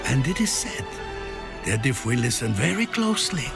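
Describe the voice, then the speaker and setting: A man narrating a scripted fantasy tale as a solemn, deliberate, dramatic storyteller. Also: mid-range voice, sing-song, gravelly. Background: music.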